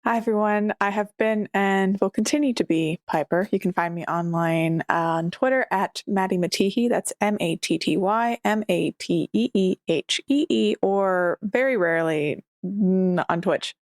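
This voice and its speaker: A woman's voice: medium pitch, moderate pace, few pauses, no echo, clean studio sound. A woman chatting casually as a player on a podcast.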